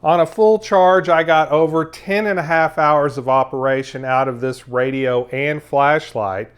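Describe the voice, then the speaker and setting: A man narrating a product review as its host, explaining things in a measured, methodical way. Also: on the high side, steady tone, clear, resonant tone.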